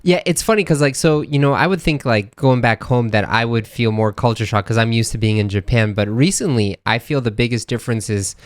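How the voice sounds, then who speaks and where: A man's voice: mid-range voice, brisk, hardly any pauses, dry recording. Youthful American man, close and dry. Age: 30s. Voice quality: youthful-sounding. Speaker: podcast co-host. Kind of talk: casual chat.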